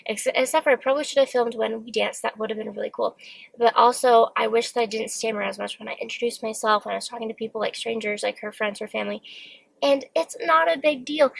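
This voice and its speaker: Earnest woman with a slight stammer, gravelly. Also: a little high, no room sound, poor audio. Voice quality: occasional stammer. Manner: open, earnest, self-reflective. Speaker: vlogger. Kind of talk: candid solo talk to camera. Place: parked car.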